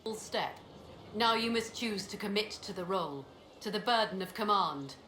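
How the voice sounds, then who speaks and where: An actress playing a stern mentor and commander who speaks solemnly and ceremonially. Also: female voice, mid-range voice, lively intonation, moderate pace, pushed and bright. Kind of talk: video game dialogue acting. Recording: echoey game capture, clear background noise.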